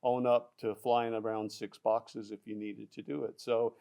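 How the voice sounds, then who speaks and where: A man explaining loudspeaker designs in a technical product discussion, halting with fillers and restarts. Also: lowish voice, level tone, creaky. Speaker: audio product specialist.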